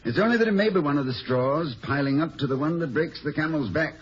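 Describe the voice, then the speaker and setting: An actor playing an urbane middle-aged college president, wordy and dryly witty, in a 1950s radio comedy. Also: male voice, fairly high pitch, fast talker, slightly rough. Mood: dryly amused. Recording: dull, hissy 1950 radio recording.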